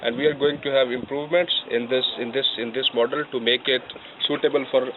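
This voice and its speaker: An earnest man lays out plans, now and then repeating a word as he looks for the next. His pitch is average. The sound is tinny and phone-like, with clear background noise, and he is a little off mic. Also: fairly even intonation, fast talker. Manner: earnest, repeats words as he searches. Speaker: design team member. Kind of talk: project summary to camera.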